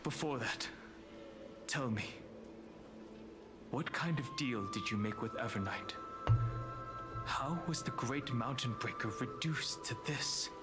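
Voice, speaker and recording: Game role: stoic noble fighter male actor, mid-pitched, unhurried, measured and serious. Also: close to an everyday voice. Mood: grave, questioning. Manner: measured, grave, formal. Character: stoic, resolute male warrior lord. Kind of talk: dramatic video game voice acting. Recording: loud game music behind the voice.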